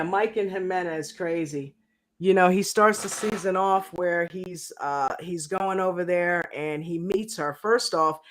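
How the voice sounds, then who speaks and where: A woman casually reviewing a reality show on a livestream, slangy and animated, acting out quotes in a mocking tone. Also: on the low side. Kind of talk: casual reaction livestream chat.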